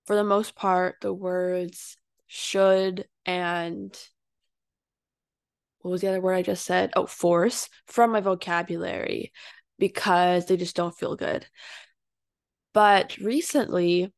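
A young woman hosting her own podcast, reflecting aloud in a soft, unhurried way with fillers and restarts. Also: medium pitch, creaky, clear, resonant tone, dry recording, studio quality. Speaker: podcast host. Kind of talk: reflective solo podcast monologue.

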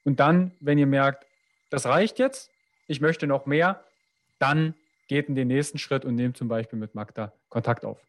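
A man hosting an interview podcast, thanking his guest and pointing listeners to the show notes in a friendly, brisk manner. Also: medium pitch. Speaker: podcast host.

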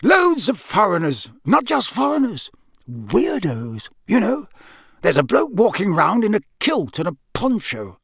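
A man's voice: sits high, huge pitch swings, unhurried, vocal fry, husky, dry recording, clean studio sound, voice pushed up, exaggerated voice. A man voicing a blunt, suspicious rural campsite keeper in an audiobook, nosy, folksy and plain-spoken. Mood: musing.

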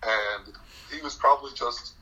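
A young man casually recounting his game in an interview, talking loudly and excitedly with lots of fillers and laughs. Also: medium pitch, normal speed, poor audio. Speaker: eliminated contestant guest.

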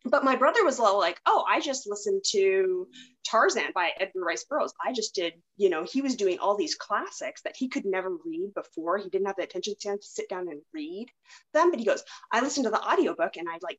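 A woman's voice: medium pitch, a bit bright, poor audio. A woman hosting a podcast, chatting casually and telling anecdotes in a rambling way. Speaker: podcast host. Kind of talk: casual chat.

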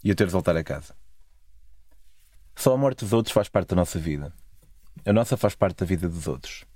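A man's voice: deep voice, expressive, normal speed, mellow, no echo. A man with a European Portuguese accent narrating a scripted, literary first-person travel story. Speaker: narrator of own travel stories.

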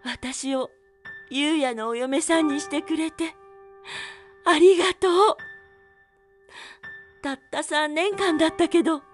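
High-voiced, tender young widow. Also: female voice, measured pace, pauses often, soft background music. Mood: tearful, tender. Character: tender, grieving young widow, emotional and sincere. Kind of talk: emotional audio drama acting.

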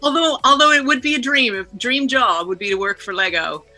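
A middle-aged woman from Canada, a guest chatting casually on a video call podcast in a lively, animated way. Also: high-pitched, a little fast. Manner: lively, laughing, animated storyteller. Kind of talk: casual interview chat.

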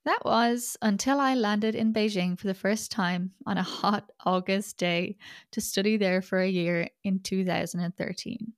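A woman's voice: medium pitch, unhurried, dry recording, clean studio sound. A young Irish woman with an Irish accent hosting a podcast, talking in a chatty, self-deprecating and wry way. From Ireland. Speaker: podcast host. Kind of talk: scripted podcast monologue.

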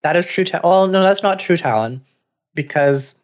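A man's voice: high voice, normal speed. Chatty man on a stream. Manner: chatty, many "like" fillers. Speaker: stream co-host. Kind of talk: casual chat.

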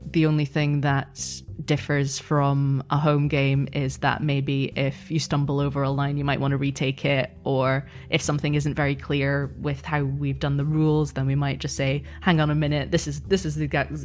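A woman's voice: low-pitched, flat delivery, normal speed, few pauses, darkish tone, faint music behind. A woman answering as a podcast guest and game master in a conversational, thoughtful, explanatory way.

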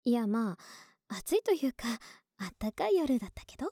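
A woman playing a clingy, sweet young neighbor in an ASMR voice drama, soft and breathy, often whispering, intimate and coaxing, close to the mic. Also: on the high side, big pitch swings, a little fast, dry recording. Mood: gently teasing. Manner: intimate, affectionate, hesitant and coaxing. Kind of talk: Japanese ASMR situation voice drama. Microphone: close, dry ASMR microphone.

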